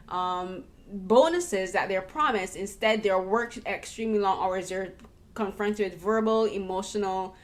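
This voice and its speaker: A young woman hosts a film interview at a medium pitch. She talks earnestly and thoughtfully, often stopping to rephrase mid-sentence. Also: fairly bright tone. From Barbados. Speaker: podcast host and interviewer. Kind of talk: casual conversational film interview.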